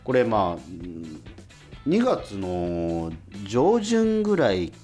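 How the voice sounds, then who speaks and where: A man hosting a radio show in casual chat, phrasing things in a halting, repetitive way. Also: fairly low pitch, wildly swooping pitch, a little mellow. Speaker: radio host. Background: faint music bed.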